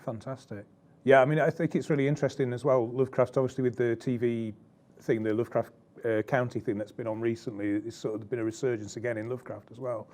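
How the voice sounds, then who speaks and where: A man with a mellow, relaxed tone hosts an interview. He asks his questions hesitantly, filling pauses with ums and agreeable asides. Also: mid-range voice.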